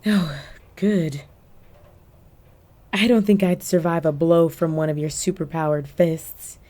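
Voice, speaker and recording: Woman acting a slow, teasing, flirty cat burglar villainess. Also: low voice, steady tone, warm tone. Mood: sly, teasing. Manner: coy, teasing, drawn-out phrasing with terms of endearment. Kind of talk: roleplay audio drama addressed to the listener.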